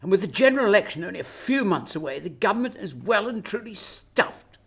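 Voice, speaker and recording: A woman playing an exasperated, sharp-tongued Prime Minister, scolding and sarcastic, in a radio drama. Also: mid-range voice, sing-song, brisk, slightly rough. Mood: accusing.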